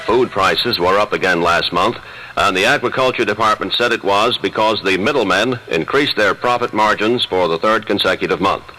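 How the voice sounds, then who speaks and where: A man's voice: fairly low pitch, moderate pace, sharp tone, slight background noise, rough recording. An American man reading a scripted radio network news report. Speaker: radio newsreader. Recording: 1970s FM radio aircheck.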